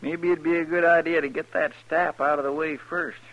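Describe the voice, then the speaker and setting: A loyal, folksy American deputy in a radio western. The voice is a little high and quite creaky, eager and deferential in manner. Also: male voice, fairly even intonation, a little fast, a bit bright, lo-fi sound. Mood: worried. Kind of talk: 1950s radio western acting.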